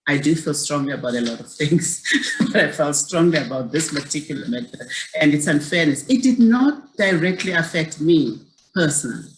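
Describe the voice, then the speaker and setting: A woman's voice: sits low, lively intonation, normal speed, slight background noise, rough recording. A woman in her sixties from South Africa, a community activist telling her story in an interview in an earnest way, often repeating words as she searches for them.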